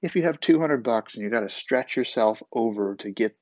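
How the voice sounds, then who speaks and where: A man hosting a casual solo podcast with a raspy, groggy voice, rambling with fillers and restarts. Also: mid-range voice, lively intonation, brisk, a little mellow, dry recording, highs cut off. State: groggy after a vaccine. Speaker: podcast host.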